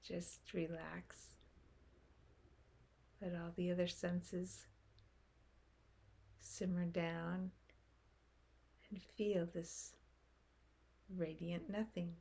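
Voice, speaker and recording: A woman leading a guided meditation in a gentle, unhurried manner, speaking in short phrases with long pauses. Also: on the low side, level tone, vocal fry, husky.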